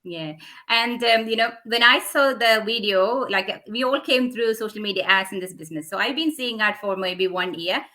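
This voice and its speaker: Bright-voiced woman hosting a live stream. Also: mid-range voice, moderate pace. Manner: friendly, hesitant, with many fillers. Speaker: host of a live online interview. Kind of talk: casual live-stream interview.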